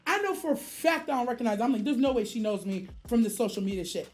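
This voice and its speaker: A woman's voice: a little high, expressive, fast talker, a little mellow. A woman streamer telling a casual story in profane slang, acting out both sides of the dialogue.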